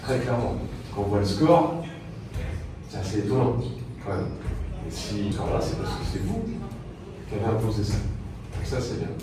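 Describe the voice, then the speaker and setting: A man coaching, giving his players an informal team talk. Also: mid-range voice. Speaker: handball coach. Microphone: far from the speaker. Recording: distant, very echoey, noisy hall.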